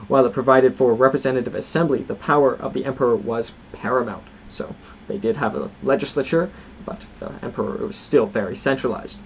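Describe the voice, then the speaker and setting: A man of ordinary middle pitch hosts a history lesson, reading prepared notes in an even, steady way. He often stops to restart a word or to add a loose, unscripted aside of his own. The recording sounds slightly dull, with a faint hiss of noise behind him. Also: fairly quick, vocal fry. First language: not Portuguese. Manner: reads scripted points, then adds loose unscripted asides with restarts. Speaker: history channel host.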